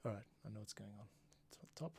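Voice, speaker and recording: A man composing on a livestream, muttering casual, halting commentary to himself as he works. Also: lowish voice, vocal fry. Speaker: music composer livestreaming his work. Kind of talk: casual thinking-aloud work commentary. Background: instrumental music playback.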